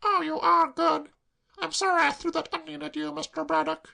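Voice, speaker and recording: A reader voicing a distraught young woman who sobs and wails in a high, breathless voice. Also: steady tone, moderate pace, vocal fry, bright, forceful tone, exaggerated voice. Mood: grateful. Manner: sobbing, high-pitched and breathless.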